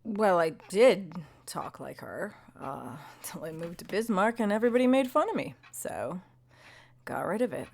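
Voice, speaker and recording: A sardonic woman homeowner at the end of her patience, mid-pitched with an expressive, up-and-down delivery. She talks in dry, clipped sarcasm. Her tone stays guarded and wry. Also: normal speed, creaky. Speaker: lead character.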